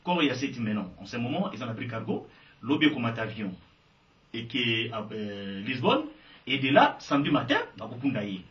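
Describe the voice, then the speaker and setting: A man's voice: medium pitch, very animated, moderate pace. A man with a Congolese French accent giving emphatic interview answers and mixing in Lingala. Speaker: guest. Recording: echoey, distant, dull sound.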